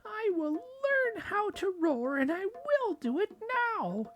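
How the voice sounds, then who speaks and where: Tiny squeaky storybook mouse. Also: male voice, sing-song, takes it slowly, a bit bright, full character voice. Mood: excited, determined. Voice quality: squeaky, high falsetto. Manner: earnest, peeping. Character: tiny, meek but plucky brown mouse.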